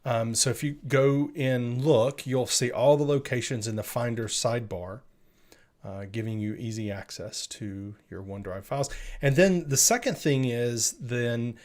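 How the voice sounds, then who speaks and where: A man's voice: mid-range voice, unhurried. A man co-hosting a tech news show, talking casually and unscripted as he explains things step by step.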